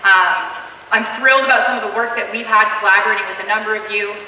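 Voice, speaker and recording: A woman's voice: mid-range voice, steady tone, quick pace, pushed and bright, lo-fi sound, a little hiss or hum. A woman with a Canadian accent giving an upbeat, chatty live product demo on a convention stage, with frequent fillers. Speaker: keynote presenter. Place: large convention hall stage. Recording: distant mic in echoey hall.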